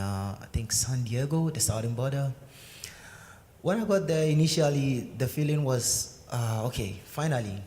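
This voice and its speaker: A young man speaks hesitantly, pausing often with fillers as he tells his own story. His voice sits low and mellow. It is recorded in a large sanctuary with a long reverb. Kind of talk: personal testimony answering questions. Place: synagogue sanctuary. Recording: echoey sanctuary with faint noise.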